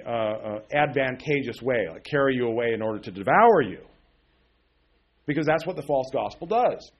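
A man preaching a loose, conversational sermon from the pulpit, full of fillers and restarts, often chuckling at himself. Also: medium pitch. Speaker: pastor. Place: church pulpit.

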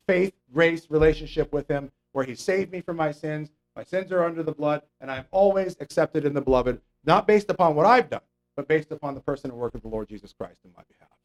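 A man with a fairly high voice delivers a conversational Bible lesson. He explains scripture step by step, with fillers and frequent "okay?" checks to the congregation. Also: low-quality recording. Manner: mocks imagined religious people in a raised voice. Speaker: preacher. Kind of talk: conversational Bible teaching sermon.

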